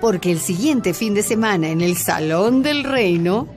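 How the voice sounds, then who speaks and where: A woman narrating a radio drama in a calm, even way. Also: mid-range voice, unhurried. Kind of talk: scripted narration. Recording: clear music underneath.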